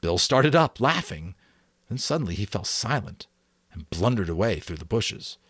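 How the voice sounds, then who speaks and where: A man doing expressive, dramatic audiobook narration, sometimes stumbling on a word and correcting himself. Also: fairly low pitch, normal speed, slightly rough, no echo. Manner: expressive, with small slips he corrects aloud. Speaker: amateur audiobook reader.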